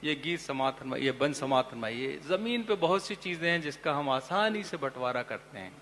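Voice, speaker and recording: A man, a poet on stage, sings his verses in a melodic recital at a poetry gathering, with quick spoken remarks to the audience between the verses. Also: a little high, lively intonation, fairly bright tone, a little hiss or hum. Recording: long hall reverb with faint music.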